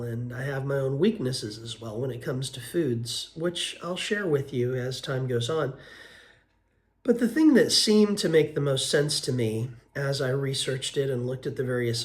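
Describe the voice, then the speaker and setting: A man in his fifties, hoarse from a cold, giving an earnest, unhurried vlog update with frequent ums and uhs. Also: mid-range voice. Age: 50s. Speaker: vlogger. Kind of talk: casual weight-loss update vlog.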